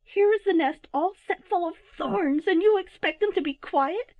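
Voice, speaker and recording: Woman as a scolding, animated old mother owl. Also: lowish voice, moderate pace, dry recording, phone-quality sound. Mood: indignant. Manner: sharp, exclamatory scolding.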